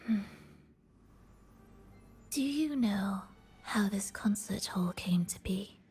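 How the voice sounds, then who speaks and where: A woman voicing a melancholic, enigmatic composer and conductor in a video game, solemn and poetic in a soft, breathy voice. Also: medium pitch, lively intonation. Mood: mournful, solemn. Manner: solemn, poetic, measured delivery. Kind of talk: dramatic video game voice acting. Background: background music.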